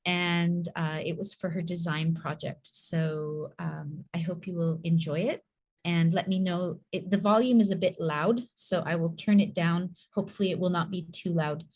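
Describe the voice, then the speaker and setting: A woman who leads a school, giving a measured, reflective conference talk in a hall with the occasional soft laugh. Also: lowish voice, fairly even intonation. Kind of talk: conference presentation.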